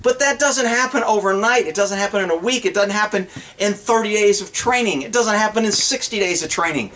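High-pitched man talking horses casually. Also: brisk, a little hiss or hum. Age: middle-aged. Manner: animated, blunt, folksy, acts out imagined dialogue. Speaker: horse trainer and vlogger. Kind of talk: casual off-the-cuff instructional talk to camera. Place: outdoors on open rural land.

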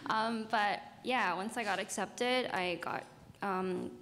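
A woman's voice: medium pitch, slow, fairly bright tone. A young woman student born in Vancouver and raised in Asia, speaking earnestly on a panel in a reverberant hall. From Vancouver, grew up in Asia. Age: young adult. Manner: earnest, laughs lightly. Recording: reverberant hall, faint noise.

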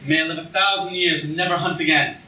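A man's voice: fairly high pitch, steady tone, fast talker, sharp tone, a little hiss or hum. A man playing a haughty, treacherous prince in an amateur staged reading, giving orders in an imperious, declamatory way. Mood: false, scheming. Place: lecture hall stage. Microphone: far camera. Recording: echoey lecture hall from far off.

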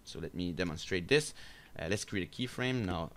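A man giving an unscripted software screencast tutorial, explaining step by step in a halting, hesitant way. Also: sits low, animated, slight background noise. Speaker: tutorial instructor. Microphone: voice-over for a screen recording.

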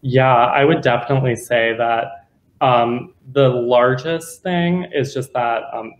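A man's voice: mid-range voice, takes it slowly, reverberant, poor audio. A young man, a guest curator, chatting casually about his exhibition on a video call from home. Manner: casual, chatty with many fillers. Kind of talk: answering questions after a lecture. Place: home room.

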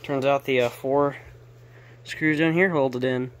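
A man's voice: mid-range voice, steady tone, a little slow. A man giving a casual, unscripted show-and-tell in an offhand mutter while working at a bench in a small cluttered workshop. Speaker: hobbyist electronics repairer showing equipment. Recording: handheld camera audio with clear background noise.

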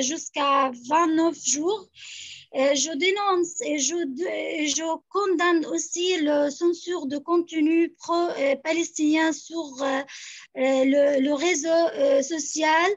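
A woman's voice: high-pitched, no echo. Hesitant young woman on a video call. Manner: hesitant, many fillers and restarts. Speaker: young activist speaking from Gaza. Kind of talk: answering a question on a video call. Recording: dull lo-fi video call audio.

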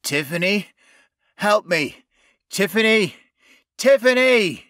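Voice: medium pitch, expressive, unhurried, bright, forceful tone, no room sound, studio quality, pitched above the performer's own voice. A narrator voicing a trapped young man in an audiobook story, calling out urgently as if from a distance. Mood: desperate.

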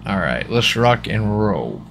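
A man's voice: on the low side, big pitch swings, slow, vocal fry, noisy background. A man chatting casually and informally to viewers as he streams a game, in a folksy way. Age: adult with young children. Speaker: live streamer. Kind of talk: casual live stream chat while gaming.

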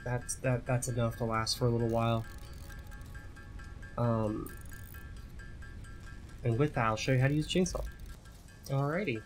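A man's voice: medium pitch, fairly even intonation, moderate pace, long pauses, faint music behind. A young man giving casual tutorial commentary over gameplay, chatty, often repeating words and asking "right?". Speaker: gaming YouTuber.

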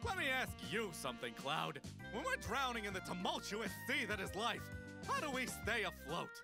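A man's voice: high-pitched, wildly swooping pitch, moderate pace, creaky, heavily acted. Swooping showman cat fortune teller. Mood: dejected. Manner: theatrical carnival patter. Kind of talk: comic game parody dub. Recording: music under the voice.

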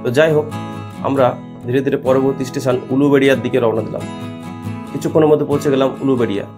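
A man's voice: mid-range voice, steady tone. A man narrating a train journey as a travel vlogger, explaining sights like a tour guide. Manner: fast, informative tour-guide telling. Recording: music bed under voice-over. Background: guitar music.

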